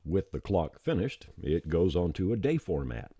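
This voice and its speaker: A man presenting a software tutorial as a step-by-step screencast voice-over, plain-spoken and informal, on a close mic. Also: low-pitched, sing-song, normal speed, breathy edge, no room sound.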